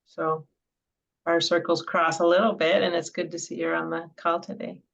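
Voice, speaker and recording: Gravelly woman on a muffled call. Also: lowish voice, level tone, moderate pace, fairly bright tone. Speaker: coach and consultant. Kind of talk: video call introduction. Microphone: video call. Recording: muffled video call audio.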